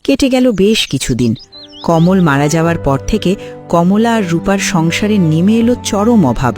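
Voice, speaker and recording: A man's voice: high voice, lively intonation, a little slow, a little mellow, faint music behind. A man reading scripted story narration for a cartoon.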